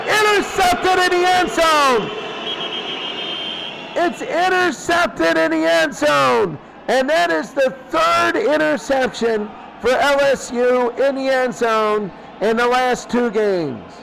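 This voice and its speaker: A man's voice: very high voice, creaky, fairly bright tone, a little hiss or hum, rough recording. A man with an American accent calling football play by play, exclaiming on big plays. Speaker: play-by-play commentator. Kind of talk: live American football commentary.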